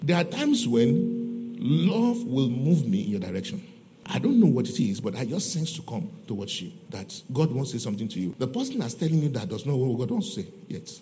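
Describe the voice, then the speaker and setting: A man preaches with a Nigerian accent in a mellow, mid-pitched voice that rises and falls freely. He teaches emphatically, repeating key phrases and posing questions that he answers himself. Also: very animated, fairly quick, soft background music, low-quality recording. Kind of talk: teaching sermon with scripture readings and questions to listeners.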